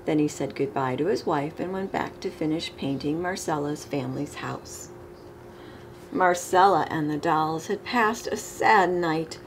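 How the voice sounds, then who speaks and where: A middle-aged woman reading a children's picture book aloud with expressive, emphasized storybook delivery. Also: low-pitched, a little slow. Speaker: storytime reader. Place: living room, indoors. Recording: clear background room noise.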